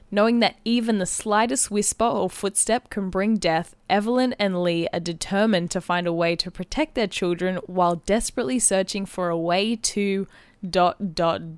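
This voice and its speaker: A woman's voice: mid-range voice, clear, resonant tone, no room sound. A woman co-hosting a podcast, explaining things in a casual, chatty, giggly way. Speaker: podcast co-host. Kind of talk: casual chat, explaining a game. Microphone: close podcast microphone.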